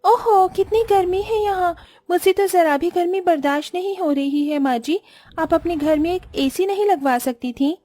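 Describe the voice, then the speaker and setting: Woman playing a shrill spoiled young bride. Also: normal speed, dark tone. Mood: demanding. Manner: shrill, demanding, scornful. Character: spoiled, rich, rude young daughter-in-law.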